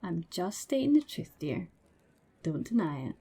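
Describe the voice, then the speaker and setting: A blunt Norse huntress goddess acted by a woman, dry and keen in manner. Her voice is breathy and mellow, a little on the low side, as heard in an ASMR roleplay. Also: sing-song, a little slow, heavily acted. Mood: dryly exasperated. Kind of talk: ASMR roleplay audio drama.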